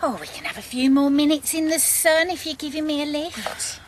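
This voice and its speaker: An actress playing a middle-aged village mum, homely and gossipy, in a radio drama. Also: female voice, high-pitched, brisk, a little hiss or hum, lightly acted. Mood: chatty, obliging. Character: chatty, knowing village mum and grandmother. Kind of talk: naturalistic radio drama acting.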